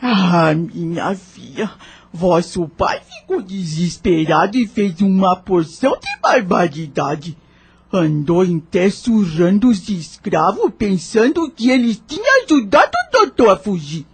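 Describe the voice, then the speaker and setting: A woman's voice: medium pitch, big pitch swings, a little slow, husky, faint music behind, exaggerated voice. A woman playing a kindly, humble old nanny in a Brazilian audio novel, speaking an affectionate rustic dialect. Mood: gossipy, sorrowful.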